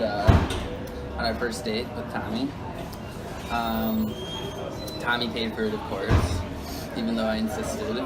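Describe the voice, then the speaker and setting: A man hosting a casual vlog, talking in a relaxed, soft-spoken way with hesitant fillers, outdoors on a city street. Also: lowish voice. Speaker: vlog host.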